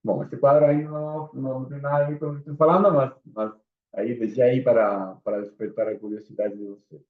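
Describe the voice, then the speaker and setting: A man's voice: mid-range voice, level tone, a little fast. A man from Chile with a Chilean Spanish accent, speaking Portuguese as an instructor, giving a casual live lecture and asking his small audience questions. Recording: echoey room, phone-like sound.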